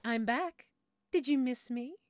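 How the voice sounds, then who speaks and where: A woman's voice: high voice, takes it slowly, no echo. A woman playing a sadistic, gleeful mad scientist in an audio roleplay, teasing her captive listener with sweet condescension. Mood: mock-sweet, menacing.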